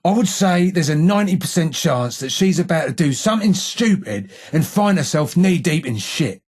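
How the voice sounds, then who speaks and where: A gruff old blind ghoul from a video game, speaking in an English accent. The voice is husky and rough, set a little high for a male. The delivery is blunt and sardonic, full of salty slang, as befits a seasoned gang right-hand man. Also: expressive, normal speed, a little mellow. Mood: gruff, wry. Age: older. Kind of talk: video game character dialogue.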